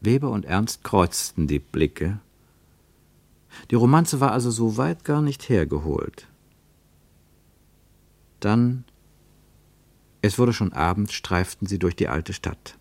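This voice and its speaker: A man reading literary prose as the narrator of a radio play, measured and deliberate, with dramatic emphasis. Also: on the low side, very animated, gravelly, a little mellow, no room sound.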